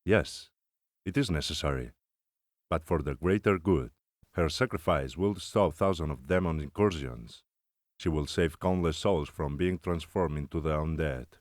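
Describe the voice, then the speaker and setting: A man playing a stern, solemn older vampire-slayer elder, speaking gravely and formally in a cinematic audio roleplay. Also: rumbling low, moderate pace, no echo, clean studio sound. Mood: grave, insistent.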